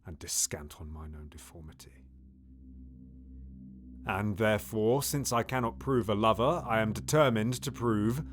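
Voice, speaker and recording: Low, scheming Shakespearean villain played by an actor. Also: male voice, unhurried, natural voice. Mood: conspiratorial, bitter. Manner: intimate, theatrical, often near-whispered soliloquy. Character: scheming, bitter duke, villainous brother of the king. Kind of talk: classical Shakespearean audio theatre.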